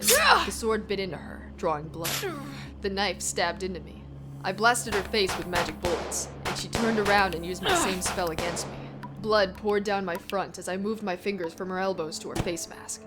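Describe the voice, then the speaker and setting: A woman giving an intense, theatrical first-person reading of a story. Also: medium pitch. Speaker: amateur fan fiction reader. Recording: music bed behind the voice.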